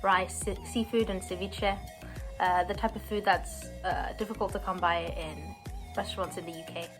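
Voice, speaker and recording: A woman's voice: fairly low pitch, steady tone, moderate pace, over music. A young woman, earnestly telling her personal story as a student, with some hesitant fillers. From London, England. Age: 20s. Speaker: university student. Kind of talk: personal story, read to camera.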